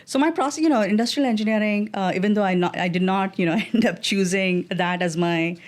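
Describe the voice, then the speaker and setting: A middle-aged woman with an Indian English accent, a guest on an interview podcast, answering in a measured way with many fillers and restarts. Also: medium pitch. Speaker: guest, design executive.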